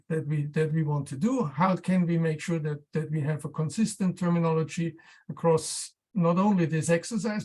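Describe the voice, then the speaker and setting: A researcher presents technical material in a medium-pitched voice. The delivery is explanatory and loosely prepared rather than read out. Phrases come haltingly, with words repeated while the next thought is put together. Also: a little mellow.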